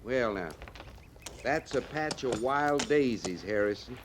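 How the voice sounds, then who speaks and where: A gruffly teasing cavalry sergeant in a radio western. His mid-pitched voice is laconic and deadpan, with a rural western American accent. The old recording carries a light layer of noise. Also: slow pace. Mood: wry, teasing.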